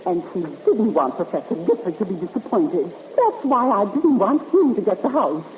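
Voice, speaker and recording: A woman's voice: mid-range voice, big pitch swings, normal speed, natural voice. An actress playing a nervous, pleading little old lady in a 1950s radio drama, breathless and agitated. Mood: flustered pleading. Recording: noisy, muffled 1950s radio recording.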